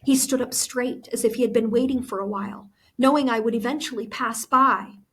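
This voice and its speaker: A woman's voice: fairly high pitch, fairly quick, fairly bright tone, slightly rough. A woman teacher reading a novel aloud expressively to students. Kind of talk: expressive book read-aloud.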